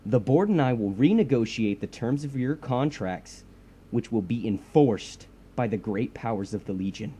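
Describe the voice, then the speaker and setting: A man playing a pompous, self-righteous superhero in an audio drama, husky and threatening. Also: medium pitch, animated, normal speed, mellow. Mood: commanding.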